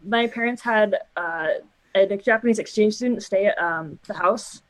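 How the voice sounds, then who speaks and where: A young woman in casual chat, speaking hesitantly with many pauses. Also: mid-range voice, low-quality recording.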